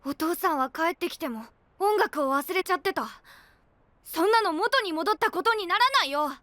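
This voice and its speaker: Upset small anime child, piercingly high and bright, protesting. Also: female voice, expressive, moderate pace, no room sound, heavily acted. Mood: hurt protest. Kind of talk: Japanese anime audio drama acting.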